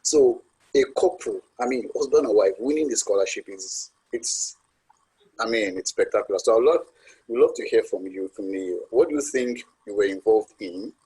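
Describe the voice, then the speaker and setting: Male panel moderator, deep husky voice, rambling questions full of "you know". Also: normal speed, warm tone, low-quality recording.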